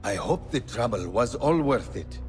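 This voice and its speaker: An actor playing a wry, cautioning ally in a dramatic video game. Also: male voice, medium pitch, lively intonation, normal speed, soft background music, lightly acted. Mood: wry, stern.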